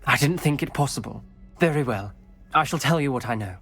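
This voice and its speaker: A man playing a principled, guilt-ridden young wizard student in a game, with a formal, measured way of speaking. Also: medium pitch, lively intonation, creaky, a bit bright, husky, soft background music, exaggerated voice. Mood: reluctant. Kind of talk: scripted game cutscene acting.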